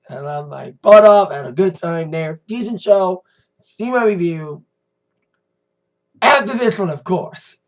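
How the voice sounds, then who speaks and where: An American man with a slightly hoarse voice, giving a rambling, informal and emphatic recap as a wrestling review vlogger. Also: fairly high pitch, darkish tone. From United States. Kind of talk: casual recap commentary to camera.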